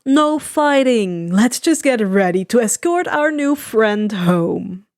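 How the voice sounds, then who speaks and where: A woman's voice: fairly high pitch, animated, slow pace, darkish tone, no echo, studio quality. Male stage-magician rogue female reader: courteous, slightly fussy, storybook delivery. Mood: placating.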